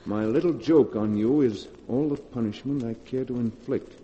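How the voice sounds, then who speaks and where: A man's voice: medium pitch, moderate pace, mellow, lightly acted. Actor as tense man in echoing vintage radio horror. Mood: grave reading. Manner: urgent, theatrical delivery. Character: tense guilty man trapped in a cave with his lover. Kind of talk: 1940s radio horror drama acting. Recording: muffled vintage radio, long hall reverb.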